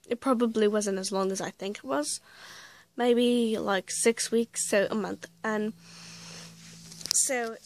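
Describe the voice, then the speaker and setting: A woman's voice: mid-range voice, dry recording. A woman telling a rambling story in a casual vlog, correcting herself as she goes, with a slight cold and a voice that is starting to go. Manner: rambling, self-correcting, chatty. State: slight cold, losing her voice. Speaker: vlogger playing an invented actress.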